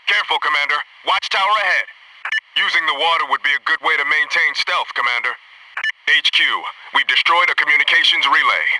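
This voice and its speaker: A man's voice: fairly high pitch, fairly quick, distant mic, background noise, natural voice. A man playing an alert, disciplined Navy SEAL squad soldier in a military video game, giving clipped, businesslike tactical reports. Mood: focused, businesslike.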